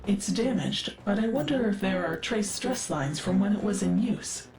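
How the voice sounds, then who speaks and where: An actress playing a curious, analytical ship AI in a video game, speaking with measured, precise, formal diction. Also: female voice, medium pitch, lively intonation, natural voice. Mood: puzzled. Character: curious, analytical female ship AI, cultured and wistful. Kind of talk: scripted video game dialogue. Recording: echoing voice with music behind. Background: game music.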